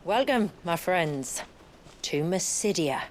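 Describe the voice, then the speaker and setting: An actress playing a hospitable, wry village leader in a video game cutscene. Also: female voice, fairly low pitch, expressive, takes it slowly, background noise. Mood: wry, solemn.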